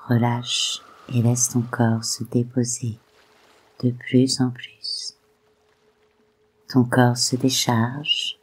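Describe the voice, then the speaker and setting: A soft, breathy voice guiding a meditation in a soothing, unhurried way with gently spaced phrases. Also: mid-range voice, low-quality recording. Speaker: guided meditation host. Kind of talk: slow soothing guided meditation narration.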